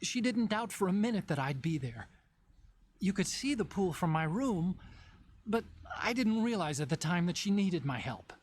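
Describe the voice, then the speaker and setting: A man's voice: high-pitched, big pitch swings, normal speed, slight background noise. An actor playing an earnest, brainy male computer engineer who explains things carefully in a video game drama. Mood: regretful, confiding. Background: game music.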